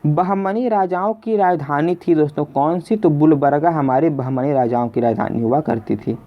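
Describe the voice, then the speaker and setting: A man's voice: fairly high pitch, normal speed. A man hosting an exam lesson in a question-and-answer style, addressing listeners as friends and repeating his questions. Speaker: quiz teacher and video host. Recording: dull lo-fi voice recording.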